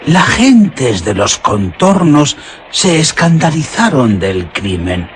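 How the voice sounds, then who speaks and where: A man's voice: medium pitch, big pitch swings, slight background noise. A man playing a rustic herdsman who tells an old legend in a mysterious, suspenseful radio drama manner. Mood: eerie, grave. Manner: mysterious, drawn-out, suspenseful storytelling.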